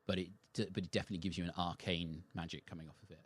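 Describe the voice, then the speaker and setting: Low-voiced man running a tabletop game. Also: fairly even intonation, rapid-fire, breathy edge, dry recording. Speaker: game master. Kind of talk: narrating a tabletop role-playing game.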